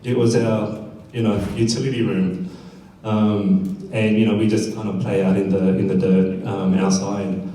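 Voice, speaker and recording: A man reflecting on his life in conversational, measured speech as a panelist. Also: on the low side, level tone, warm tone. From South Korea, then Australia. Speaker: panelist at a community fireside chat. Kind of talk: reflective off-the-cuff personal storytelling. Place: event stage in an echoey indoor room. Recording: echoey room, distant mic, faint noise.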